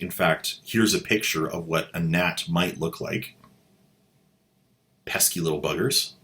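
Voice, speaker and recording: A man's voice: on the low side, fairly bright tone. A man hosting a comedic commentary with a sarcastic, mocking manner. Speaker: host.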